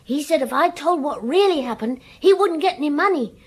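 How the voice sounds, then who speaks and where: Anxious, high-voiced village schoolboy. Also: female voice, expressive, fairly quick. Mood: sheepish, confiding. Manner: hesitant, naive, defensive. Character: anxious, evasive village schoolboy confessing mischief. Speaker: performer playing a child in a radio drama. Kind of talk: radio drama dialogue.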